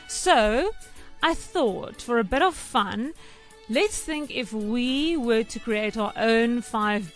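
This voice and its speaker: Bubbly South African woman, Afrikaans first language, breakfast radio presenter, slightly high voice, soft music bed. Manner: bubbly, chatty, laughs often. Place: radio studio. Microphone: studio microphone.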